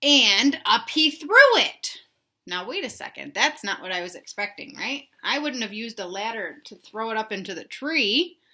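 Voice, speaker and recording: A woman reading a children's storybook aloud in an animated, emphatic way, pausing to put questions to young listeners. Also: on the high side. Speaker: read-aloud host for children.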